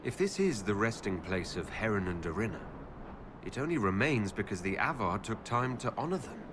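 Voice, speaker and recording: An actor playing a male elven Inquisitor in a video game, a considerate leader with a measured, questioning way of talking. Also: fairly low pitch, very animated, background noise. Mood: inquisitive.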